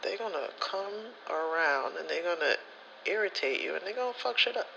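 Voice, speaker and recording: A man speaks with a very bright, crisp-edged voice that often drops into creaky fry. His pitch moves around in a lively way as he rambles through casual ASMR stories in slangy, profane language. There is faint noise behind him. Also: moderate pace, lo-fi sound. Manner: profane, slangy, rambling storyteller who acts out quoted dialogue. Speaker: spiritual content creator.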